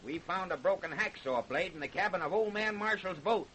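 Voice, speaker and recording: A man's voice: sits high, expressive, fairly quick, pushed and bright. An actor playing a stubborn, folksy backwoods lawman in an old-time radio drama, with a hectoring, colloquial way of talking. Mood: accusing, insistent. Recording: hissy, noisy old radio recording.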